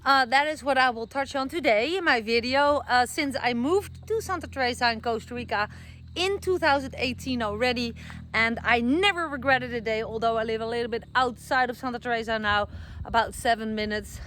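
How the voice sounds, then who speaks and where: A woman's voice: fairly high pitch, moderate pace, a bit bright, slight background noise. A middle-aged woman from the Netherlands with a Dutch accent, chatting casually to camera outdoors, with many fillers and self-corrections. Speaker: vlogger. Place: outdoors, garden with trees being planted.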